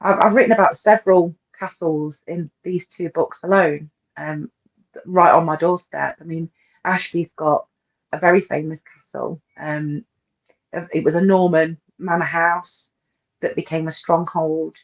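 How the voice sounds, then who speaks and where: A woman's voice: deep voice, steady tone, unhurried, highs cut off. A woman, a guest author chatting in an interview, enthusiastic and hesitant with many ums and repeats. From English East Midlands. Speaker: guest author and paranormal researcher. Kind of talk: casual interview chat.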